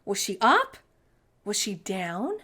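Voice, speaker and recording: A woman reading a children's picture book aloud in an expressive storytime narration style. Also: mid-range voice, slow pace, husky.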